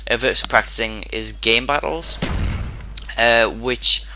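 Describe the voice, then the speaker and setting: A man with a hoarse, sore-throated voice giving casual commentary over his own gameplay, rambling and often restarting his sentences. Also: mid-range voice, slow pace, bright, forceful tone, a little hiss or hum. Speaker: gaming commentator.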